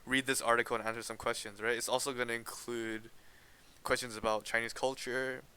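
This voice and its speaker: A man's voice: medium pitch, little pitch movement, brisk, bright, forceful tone, clean tone. A teenage boy from Canada co-hosting a podcast, chatting casually and hesitantly, often restarting and laughing as he explains. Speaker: podcast co-host. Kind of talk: casual chat.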